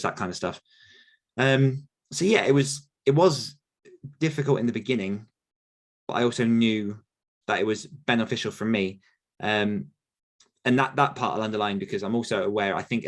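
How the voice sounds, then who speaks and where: A man, answering listener questions on a podcast in a rambling, self-correcting way. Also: fairly low pitch. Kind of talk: casual Q&A chat.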